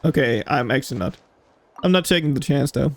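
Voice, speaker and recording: A man's voice: mid-range voice, lively intonation, brisk, background noise. A man giving casual gameplay commentary, muttering to himself as he plays. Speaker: gamer, streamer.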